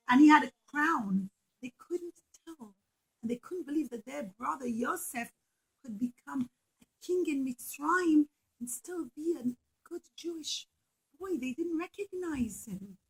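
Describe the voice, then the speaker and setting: High-pitched woman telling children a story. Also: rough recording. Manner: simple repeated phrases, questions to listeners. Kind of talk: animated puppet-show storytelling for young children.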